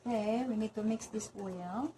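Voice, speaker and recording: A woman's voice: medium pitch, normal speed, mellow, a little hiss or hum. A woman from the Philippines with a Filipino accent, chatting her way through a cooking demonstration in a home kitchen and saying okay between steps. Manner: chatty, walks through each step, says okay often. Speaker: cooking vlogger.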